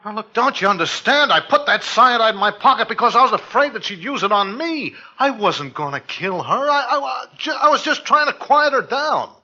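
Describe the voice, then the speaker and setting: A man's voice: very high-pitched, lively intonation, moderate pace, few pauses, bright, forceful tone, full character voice. An actor playing a panicky, cornered male murder suspect, stammering and pleading in 1950s radio crime drama. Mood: desperate, pleading. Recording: muffled old radio recording.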